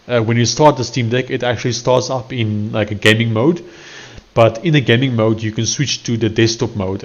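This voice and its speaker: Low-voiced Afrikaans-accented man, casual stream talk. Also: lo-fi sound. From South Africa. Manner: rambling, self-correcting, talks while working on screen. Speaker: live-streaming developer. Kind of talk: casual live-stream coding commentary.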